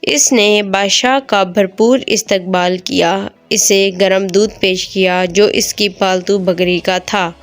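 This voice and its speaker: A woman's voice: mid-range voice, steady tone, slow pace, few pauses. A woman storyteller reading a scripted moral tale as narrator. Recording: music behind the voice.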